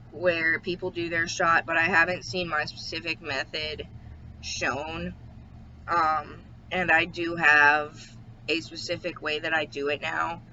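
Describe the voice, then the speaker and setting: Man, home video diary, halting speech full of ums, flat delivery, slightly irritated throat. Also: on the high side. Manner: slow, hesitant, many ums. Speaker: vlogger. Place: at home with his dog. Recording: dull lo-fi with faint hiss.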